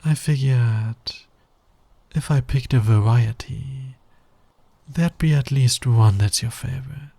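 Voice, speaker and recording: A man playing a smug, flirty fantasy villain in an intimate ASMR roleplay, breathy and teasing, often sinking toward a whisper. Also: medium pitch, mellow, dry recording, exaggerated voice. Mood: playful, tender. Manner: teasing, slow, deliberate, dominant. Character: flirty, smug male fantasy villain, patient, smitten with hero. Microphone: close microphone, dry sound.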